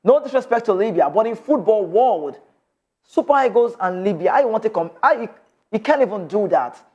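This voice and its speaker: Nigerian male sports host, high register, passionate opinionated delivery, slightly muffled recording. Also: lively intonation, normal speed, mellow. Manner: passionate, mixes in Nigerian Pidgin. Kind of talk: sports news reading and opinionated commentary.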